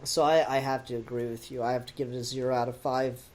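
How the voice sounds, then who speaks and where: A male podcast co-host with a medium-pitched voice talks through a critique casually. He sounds analytical and questioning, building his points in loose, conversational phrases. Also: little pitch movement, fast. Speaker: podcast co-host reviewing audio dramas.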